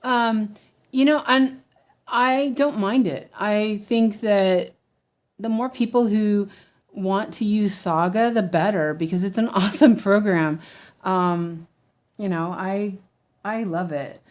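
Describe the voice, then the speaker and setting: Relaxed American woman, slow and rambling, chatting to viewers while she stitches. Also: mid-range voice. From United States. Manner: rambling, chatty, frequent ums and restarts, laughs easily. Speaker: weaving teacher. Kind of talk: casual stitch-along chat.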